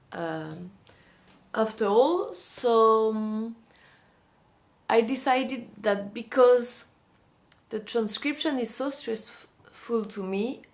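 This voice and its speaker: A young woman with a French accent, talking casually and hesitantly to camera in a vlog, with many pauses and restarts. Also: medium pitch. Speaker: vlogger, magazine publisher.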